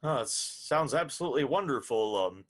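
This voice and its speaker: A man's voice: medium pitch, animated, moderate pace. A man hosting a radio interview in a courteous, announcer-like way. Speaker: show host, interviewer.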